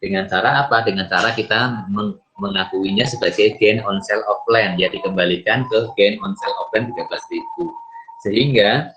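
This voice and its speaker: A man's voice: on the low side, fairly bright tone, a little hiss or hum. An Indonesian man giving a step-by-step recorded lecture in a didactic way, often asking questions and answering them himself. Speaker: accounting lecturer. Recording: muffled, lo-fi microphone sound.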